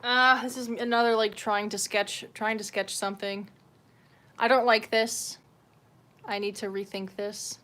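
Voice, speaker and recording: A woman's voice: mid-range voice, little pitch movement, measured pace, pushed and bright. A woman chatting casually as she hosts a livestream, informal and sweary, with a self-deprecating way of talking. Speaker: livestream host. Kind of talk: casual livestream chat while drawing. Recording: clear background noise and room ambience.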